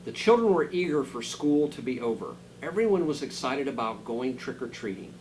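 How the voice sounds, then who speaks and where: A man reading a children's storybook aloud dramatically, dropping into quieter asides to his listeners. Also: mid-range voice, sing-song, normal speed, a little hiss or hum, rough recording. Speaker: school superintendent reading a picture book aloud.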